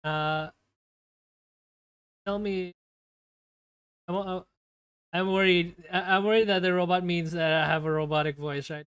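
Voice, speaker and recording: Nervous, stammering man with a smooth voice. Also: high-pitched, steady tone, measured pace, long pauses, a bit bright, no echo. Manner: nervous, stammering, many fillers. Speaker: streamer. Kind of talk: casual live-stream chat. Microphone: desktop streaming mic with noise gate.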